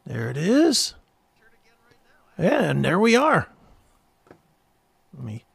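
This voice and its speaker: A man's voice: a little high, huge pitch swings, slow pace, vocal fry, slightly rough, no echo. A man hosting a talk show in casual chat, with an informal, enthusiastic manner.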